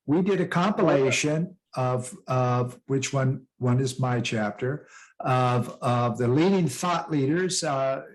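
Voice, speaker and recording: Halting, rambling American man. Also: medium pitch, low-quality recording. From USA. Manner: rambling, halting with many fillers and restarts. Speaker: guest, author and business coach. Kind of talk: casual interview talk.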